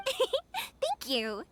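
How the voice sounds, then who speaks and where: A woman's voice: very high-pitched, huge pitch swings, drawn-out words, heavy background noise, exaggerated voice. An actress voicing a bubbly, friendly young female fireworks maker in a video game. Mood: cheerful. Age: young adult.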